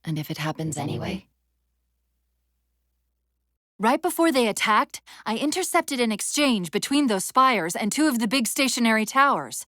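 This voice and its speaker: A woman voicing an urgent, clever military AI companion in a video game, wry and earnest, giving crisp tactical briefings with an undercurrent of strain. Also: a little high, very animated, a little fast, hardly any pauses, pushed and bright, no echo, studio quality. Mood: strained, vulnerable.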